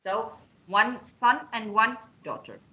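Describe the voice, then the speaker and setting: Bright-voiced woman teaching over video call. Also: a little high, animated, slow pace, dull audio. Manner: patient, repeats and drills example phrases. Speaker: English teacher. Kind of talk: online class instruction.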